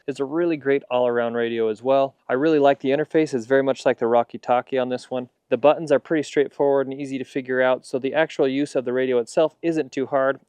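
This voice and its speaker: An American man in his thirties presents a casual gear review in a smooth, clear voice. He explains things conversationally, and the sound is dry and close, with little room echo. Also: medium pitch, darkish tone. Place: outdoors in a leafy backyard.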